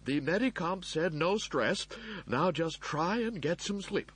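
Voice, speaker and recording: A high, fussy, husky android servant. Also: male voice, animated, unhurried, a bit bright, exaggerated voice. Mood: soothing, suppressed panic. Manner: overly soothing, euphemistic reassurance. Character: soothing, fussy male android servant hiding panic. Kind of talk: audiobook character voice.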